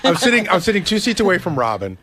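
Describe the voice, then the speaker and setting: High-voiced, animated man bantering. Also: fast talker, a loud crowd. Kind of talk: casual banter and anecdote. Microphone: studio radio microphone.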